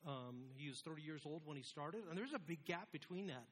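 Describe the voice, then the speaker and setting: Higher-voiced male pastor with background noise. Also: lively intonation, brisk, fairly bright tone. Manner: casual, self-deprecating. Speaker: pastor preaching to a congregation. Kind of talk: informal conversational sermon.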